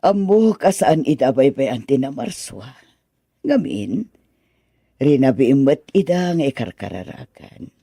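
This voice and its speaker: A man's voice: mid-range voice, sing-song, measured pace, gravelly, mellow, slightly rough, dry recording, clean studio sound, full character voice. A man playing a doting, motherly woman in a radio drama, fussing affectionately over children. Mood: gentle, reassuring.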